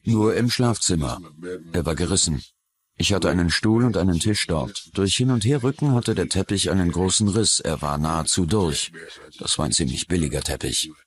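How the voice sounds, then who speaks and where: A plain-spoken male suspect in a dubbed documentary interrogation. His voice is deep and his answers are evasive. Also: expressive, normal speed.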